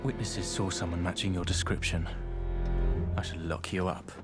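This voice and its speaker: A man's voice: low voice, flat delivery, normal speed, loud music behind the voice, close to an everyday voice. A man playing a stern, exasperated police inspector in a video game cutscene, grave and formal.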